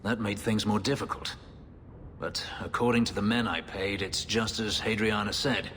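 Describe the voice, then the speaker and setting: A man's voice: lowish voice, fairly even intonation, moderate pace, a bit bright, long reverb tail, slight background noise, lightly acted. An actor playing a bitter, brooding male elf warrior and former slave, speaking in a grave, terse, restrained way. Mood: worried.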